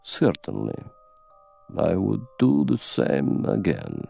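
A man voicing a haughty, cold old Russian princess in an audiobook, with slow, deliberate, contemptuous delivery. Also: deep voice, wildly swooping pitch, creaky, mellow, faint music behind, full character voice. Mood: haughty, firm. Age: older.

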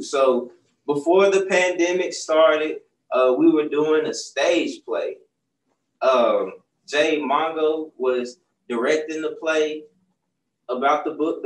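A young man, a children's book author, talking casually in a bookstore, often asking "right?" as he tells a story. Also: fairly high pitch. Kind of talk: casual talk to young audience. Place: bookstore, seated on a stool. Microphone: far camera. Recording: roomy sound from a distant mic.

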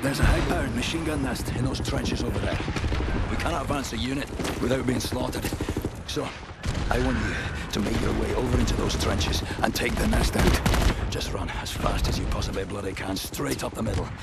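Casting: man to act Scottish army officer for war game, gritty creaky voice, sweary, sardonic authority, heavy battle noise behind. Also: medium pitch, a little fast, natural voice. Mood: brisk, commanding. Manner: commanding, sardonic, colourful cursing. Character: gruff, sweary male military officer rallying his soldiers. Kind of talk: video game war drama acting. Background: gunfire, artillery, explosions.

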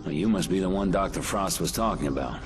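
An actor playing a gruff, tough loner super-soldier with a protective streak, blunt and terse, in a video game cutscene. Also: male voice, sits low, fast, music in the background, natural voice. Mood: guarded, gruff. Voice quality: gruff, gravelly.

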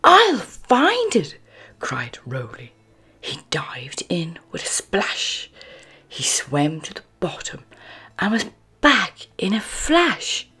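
A woman reading a children's storybook aloud as narrator, expressive, moving between hushed phrases and emphatic words. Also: low-pitched, slow, husky, in a whisper, soft background music. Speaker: storybook narrator and channel host.

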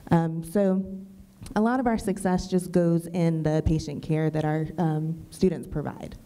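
A woman from a nursing program speaking on a college panel in an auditorium, measured and full of ums. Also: deep voice, fairly even intonation, dark tone. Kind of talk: panel discussion talk.

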